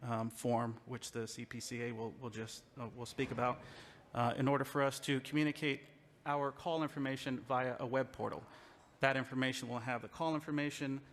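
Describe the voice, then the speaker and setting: A man delivers formal public testimony in a medium-pitched voice. He speaks as a law enforcement representative, setting out his argument point by point and leaning on the words that matter.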